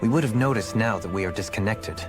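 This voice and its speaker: A man's voice: fairly low pitch, quick pace, music in the background, lightly acted. A man playing a grave, analytical adventurer in a video game cutscene, speaking seriously.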